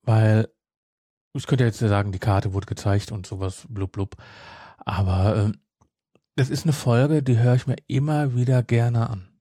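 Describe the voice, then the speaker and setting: Man, middle-aged, dark soft timbre, husky, opinionated, casual podcast review chat. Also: fairly low pitch, steady tone, a little slow, no echo, clean studio sound. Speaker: podcast co-host.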